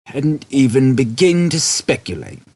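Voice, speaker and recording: A man performs a pompous, flustered male official in a comic audiobook. The voice is growling and gravelly, raised in pitch, and swoops dramatically with indignation. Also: very animated, measured pace, darkish tone, no echo, exaggerated voice. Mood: indignant.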